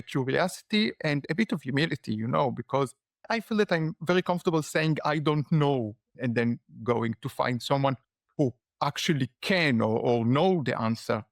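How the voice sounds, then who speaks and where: A man with an Israeli accent talks in a fairly high voice, thinking aloud and padding his answers with "you know". The sound is close and dry, with no room around it. He speaks as a guest in a casual interview. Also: gravelly, no room sound. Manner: reflective, with frequent "you know" fillers. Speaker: guest, startup founder and lecturer.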